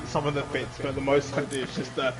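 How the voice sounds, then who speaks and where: A man's voice: medium pitch, little pitch movement, fast. A man chatting casually with friends, joking around and laughing while he asks the reviewer questions. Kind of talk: casual chat.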